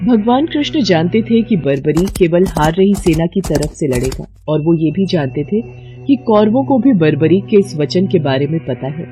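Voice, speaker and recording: A woman's voice: lowish voice, lively intonation, normal speed, mellow, faint music behind. A woman narrator reading a scripted devotional story in a storytelling style.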